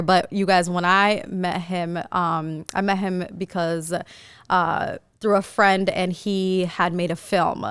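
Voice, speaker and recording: A woman's voice: mid-range voice, fairly bright tone, smooth, no room sound, studio quality. A woman hosting a casual food-and-talk podcast, bubbly and slangy, laughing often. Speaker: podcast host. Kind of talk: casual chat.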